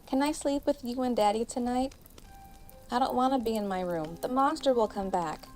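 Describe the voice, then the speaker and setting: A woman's voice: a little high, normal speed, a little mellow, faint music behind, pitched above the performer's own voice, lightly acted. A woman voicing a small frightened boy in a spoken horror story. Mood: pleading, scared. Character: small frightened boy, later eerily calm.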